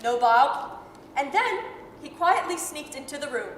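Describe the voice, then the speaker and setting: A woman's voice: high-pitched, expressive, measured pace. A woman narrating a staged 1930s-style radio drama in a gleeful, theatrical storytelling manner. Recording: echoey hall, faint noise.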